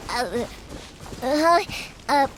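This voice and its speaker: A woman's voice: high voice, animated, very slow, a little hiss or hum, heavily acted, low-quality recording. A woman voicing a cheerful young fireworks maker in a video game, upbeat, chatty and caring. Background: game background music.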